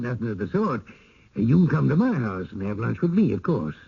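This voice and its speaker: An actor playing an older, genial, folksy small-town mayor in a radio drama, unhurried and a little hesitant. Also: male voice, medium pitch, very animated, creaky, breathy edge, exaggerated voice. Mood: hospitable. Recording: dull old radio recording.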